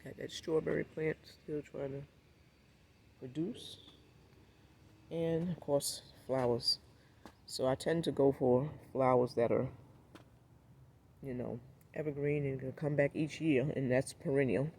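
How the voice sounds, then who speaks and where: A man with a raspy, hoarse voice from a cold, giving a laid-back, rambling walkthrough of his backyard garden and talking straight to his viewers. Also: medium pitch, fairly even intonation, measured pace, pauses often, a little mellow, a little hiss or hum. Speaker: gardening vlogger. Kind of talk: casual walkthrough vlog.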